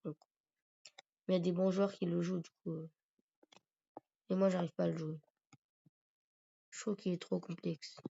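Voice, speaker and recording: Gravelly guy with a cold. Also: high-pitched, flat delivery, moderate pace, pauses often, dark tone. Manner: rambling, hesitant. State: stuffy from a cold, sore throat. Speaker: gaming YouTuber. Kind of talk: casual gameplay commentary. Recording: dull lo-fi recording.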